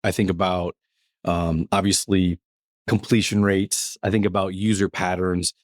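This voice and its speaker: An upbeat man hosting a workplace podcast in a relaxed, chatty way, with plenty of fillers. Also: low voice, unhurried, no echo, studio quality. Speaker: podcast host. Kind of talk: casual podcast chat.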